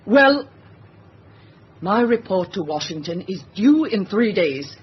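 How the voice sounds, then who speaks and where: A woman's voice: mid-range voice, sing-song, slow. A woman playing an imperious, insistent government official in an old-time radio drama, formal and demanding. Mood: insistent, menacing. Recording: dull lo-fi radio drama, faint noise.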